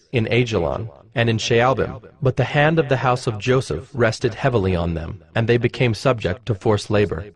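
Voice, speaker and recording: A man narrating scripture from a script in a measured, formal manner. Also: lowish voice. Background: music.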